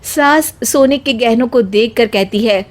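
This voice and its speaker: A woman narrator reading a scripted story in an expressive storytelling style. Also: fairly high pitch, unhurried.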